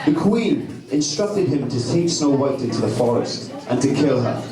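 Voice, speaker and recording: A man's voice: mid-range voice, expressive, moderate pace, over loud music. A man giving scripted storytelling narration as the narrator of a live stage pantomime. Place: club room during live pantomime show. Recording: roomy hall, distant mic, loud audience. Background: loud audience crowd.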